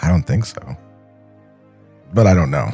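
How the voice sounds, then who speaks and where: A man's voice: very deep voice, animated, unhurried, warm tone, breathy edge, faint music behind. A man co-hosting a podcast with casual banter and commentary, speaking into a studio microphone. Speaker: podcast co-host.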